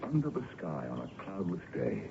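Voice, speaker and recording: An actor playing an older colonel and ardent, worldly suitor in an old-time radio drama, with a courtly, theatrical delivery. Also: male voice, deep voice, huge pitch swings, moderate pace, mellow, full character voice. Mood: wistful, romantic. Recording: dull, noisy vintage radio recording.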